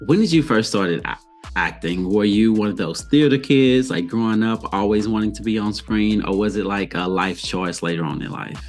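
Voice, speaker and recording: Low-voiced male podcast host. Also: moderate pace, faint music behind. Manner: engaged, asks open questions. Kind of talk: interview questions.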